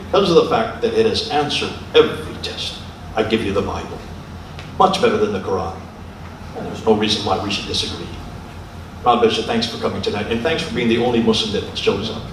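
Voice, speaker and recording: A man's voice: lowish voice, a little fast. A man lecturing and debating in public, assertive and pressing in the way he talks. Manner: assertive, pressing with repeated questions. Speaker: lecturer and debater taking audience questions. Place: large echoey lecture room. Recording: very echoey hall, distant mic, faint noise.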